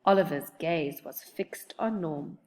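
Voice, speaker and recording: A woman reading a children's book aloud in an expressive narrating style. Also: sits low, unhurried. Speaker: storybook reader.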